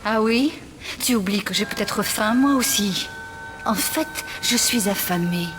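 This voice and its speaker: A woman's voice: medium pitch, lively intonation, a little slow, music in the background, natural voice. A woman voicing a dry, teasing adventurer. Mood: wry. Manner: dry, teasing, self-assured. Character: bold, witty female adventurer. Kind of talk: French dub of game cutscene.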